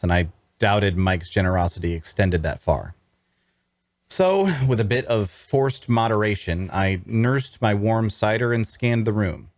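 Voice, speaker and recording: A weary, wry adventurer played by a male actor recounts a fantasy tale in the first person. His voice sits fairly low, and he narrates at a measured, storytelling gait. The sound is very dry, with hardly any room in it. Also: no echo, close to an everyday voice.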